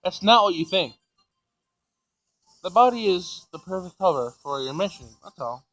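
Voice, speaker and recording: A man's voice: high voice, lively intonation, normal speed, fairly bright tone, rough recording. Man reading as an exasperated, grumbling older professor. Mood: flustered. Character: exasperated, defensive older male professor and inventor. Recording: music behind the voice.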